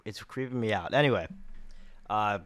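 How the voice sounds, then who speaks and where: A man's voice: on the low side, normal speed, fairly bright tone, no echo. A young man co-hosting a podcast, wry and joking as he steers the talk. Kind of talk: casual chat.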